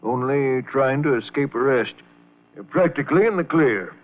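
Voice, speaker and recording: A man's voice: medium pitch, lively intonation, normal speed, natural voice. Man acting folksy, wry confidant, tinny old radio. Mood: wry, sly. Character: folksy, sly, paternal older man, blunt confidant. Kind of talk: old-time radio crime drama acting. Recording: narrow vintage radio recording.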